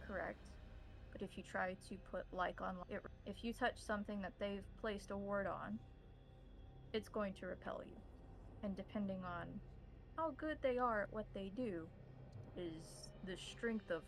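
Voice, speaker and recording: A woman speaking as game master in measured, formal phrases, improvising roleplay and narration. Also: medium pitch, vocal fry, faint music behind, rough recording.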